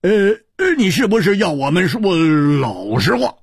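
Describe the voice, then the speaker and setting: A man's voice: wildly swooping pitch, normal speed, slightly rough, no room sound, clean studio sound, exaggerated voice. A man voicing a teasing, stammering swordsman in a spoken story, humming and stumbling over his words. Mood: cheeky. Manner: stammers and hums, sudden high laughs.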